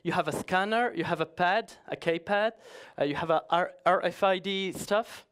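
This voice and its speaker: A man's voice: high voice, normal speed, a bit bright, clean tone. A man giving a conference talk in a chatty, joking way, often hesitating and restarting. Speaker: conference speaker.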